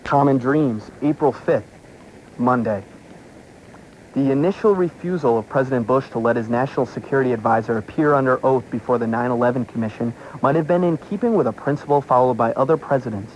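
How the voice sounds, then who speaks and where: A man's voice: mid-range voice, a little fast, darkish tone, lo-fi sound, slight background noise. An American man reading political commentary aloud as a radio talk show host, taped from a shortwave broadcast. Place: radio broadcast, heard through a shortwave receiver.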